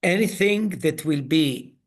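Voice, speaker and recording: A man leading an online coaching webinar, speaking in slow, halting phrases. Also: fairly high pitch, lively intonation. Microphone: video call.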